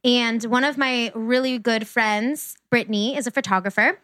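A woman's voice: a little high, steady tone, normal speed, fairly bright tone, no echo. Chatty young woman co-hosting a podcast. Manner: chatty, laughs often. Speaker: podcast co-host. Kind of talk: casual chat. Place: near Cleveland, Ohio.

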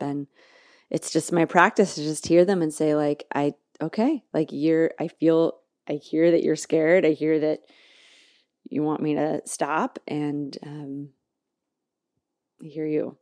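A podcast host thinks aloud in a low, mellow woman's voice. She gropes for words with fillers and restarts, then slows right down to lean on single phrases. The sound is close and dry, with no room around it. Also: dry recording. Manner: halting, searching, many restarts and fillers; slow, drawn-out emphasis on key words. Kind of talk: reflective spoken monologue.

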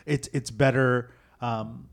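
A male podcast host chats casually with his guest and drops in wry, teasing quips. He also gives measured takes of his own. His voice sits at an ordinary middle pitch. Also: fairly even intonation. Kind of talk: casual interview chat.

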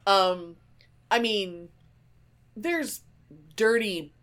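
A woman co-hosting a podcast in casual chat, with an excitable, emphatic way of talking. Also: mid-range voice, extremely slow. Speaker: podcast co-host.

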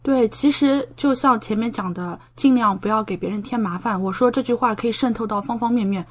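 A woman's voice: fairly high pitch, a little fast. Explanatory woman in casual conversation. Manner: explanatory, talkative, gives examples. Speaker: podcast guest, doctoral student in medicine living in Japan.

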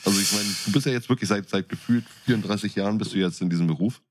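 A man's voice: fairly low pitch, rapid-fire. A man with a German accent talking casually and informally as a podcast co-host.

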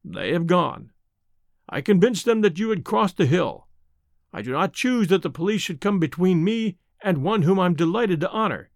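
A man voicing a calm old villain who speaks with smooth assurance. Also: high-pitched, moderate pace, dry recording, clean studio sound. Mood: calm menace. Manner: silky, assured. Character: old male villain, calm, smiling, menacing.

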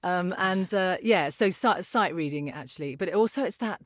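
A British woman with a very low voice chats about her voiceover work. She talks in a loose, friendly way, full of fillers, restarts and small laughs. The recording is dry and close. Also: lively intonation, moderate pace, no echo. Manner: chatty, laughs often, many fillers and restarts. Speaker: voiceover artist guest. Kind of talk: casual interview chat.

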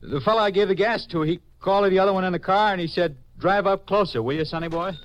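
An actor playing a nervous, evasive army soldier in a 1950s radio drama, stammering, hesitating and pleading. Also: male voice, high voice, fast, highs cut off, a little hiss or hum, close to an everyday voice. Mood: anxious, pleading. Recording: old-time 1950s radio recording.

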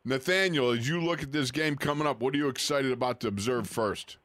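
A man's voice: medium pitch, brisk, a bit bright. A man co-hosting a sports radio show, chatting casually with callers.

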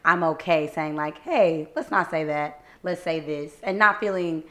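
A slightly hoarse young woman, co-hosting a casual faith podcast, upbeat and reflective, laughing easily. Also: deep voice, animated, a little slow, a bit bright. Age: young adult. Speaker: podcast co-host.

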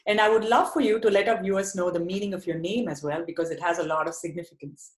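A woman from India with an Indian accent, hosting a podcast interview and leading rapid questions with thanks. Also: on the low side, fairly even intonation, roomy sound. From Chennai, India. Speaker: podcast host.

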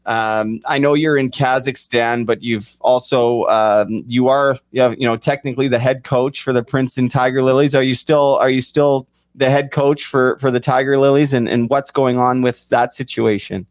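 A man's voice: medium pitch, fairly even intonation, normal speed, few pauses, no room sound. A man hosting an interview, rambling and repeating words as he frames his questions. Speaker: podcast host. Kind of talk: casual interview chat.

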